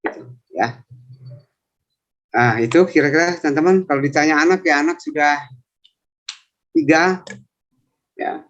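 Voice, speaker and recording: A man's voice: a little high, unhurried, long pauses, fairly bright tone. A man in his fifties, a civil service trainer, chatting and rambling through his self-introduction in an online coaching session. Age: 50s. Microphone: video call. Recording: lo-fi video call audio.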